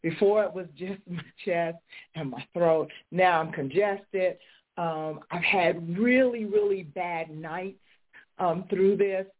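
A woman's voice: on the low side, takes it slowly. A woman hosting a faith talk show, speaking fervently and preaching, congested and recovering from illness. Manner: fervent, decreeing, rising to preaching fervor. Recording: tinny phone-line sound.

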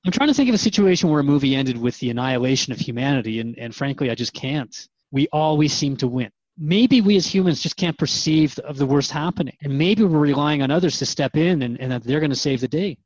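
A man's voice: on the high side, lively intonation, fast talker, few pauses, a little mellow, no echo, highs cut off. A man playing an earnest, searching radio host in a drama, asking questions in a thoughtful, conversational, hesitant way. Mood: thoughtful, unsure. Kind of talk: radio drama interview dialogue.

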